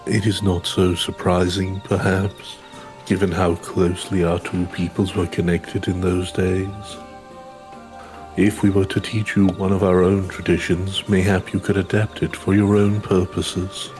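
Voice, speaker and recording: A man plays an ancient woodland elder from a fantasy game. The voice is deep and evenly pitched, gracious and wise, with measured archaic phrasing over faint music. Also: little pitch movement, a little mellow. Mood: regretful, thoughtful. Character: ancient, gracious, wise woodland elder of long-lived rabbit-eared folk. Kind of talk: reading video game dialogue in character.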